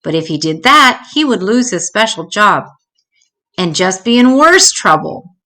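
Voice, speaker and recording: Lady reading a kids book out loud, bright clear-cut voice, expressive storytime narration. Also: unhurried. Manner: acts out dialogue with changing pitch. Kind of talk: expressive read-aloud narration for children.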